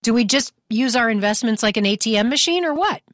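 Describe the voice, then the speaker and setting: A woman's voice: fairly high pitch, animated, quick pace, fairly bright tone, no room sound. A woman with an American accent hosting a radio show and reading out promotional details in a studio.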